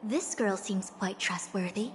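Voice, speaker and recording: A woman's voice: mid-range voice, unhurried, a little hiss or hum, natural voice. A woman playing a refined, earnest young noblewoman in a video game, speaking formally and genteelly. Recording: long reverb over game music.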